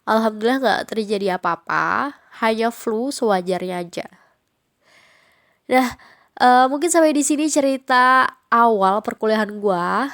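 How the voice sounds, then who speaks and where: A woman's voice: a little high, a little slow, pauses often, fairly bright tone. A young woman with a Jakarta slang accent, nasal from a cold, chatting casually on her own podcast with frequent fillers. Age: young adult. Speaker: podcast host.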